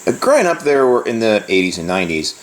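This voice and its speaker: A middle-aged man talking casually on his own as a host, in a halting, digressive way, often correcting himself. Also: lowish voice, very animated. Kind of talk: casual solo talk, rambling reminiscence. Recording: roomy echo, distant microphone.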